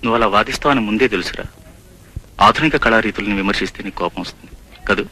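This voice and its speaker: A disillusioned, brooding man in a film drama. His voice sits fairly low and his lines come out quickly, with the weary air of someone philosophising to a friend. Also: sharp tone, slight background noise, rough recording. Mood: resigned, challenging. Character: world-weary, disillusioned male friend philosophising about life.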